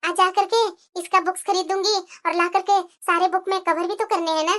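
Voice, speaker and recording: A woman's voice: very high-pitched, fairly even intonation, fairly quick, bright, forceful tone. A woman playing a hurt, dutiful young housewife and mother in a moral-story cartoon, plaintive and questioning. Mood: brooding.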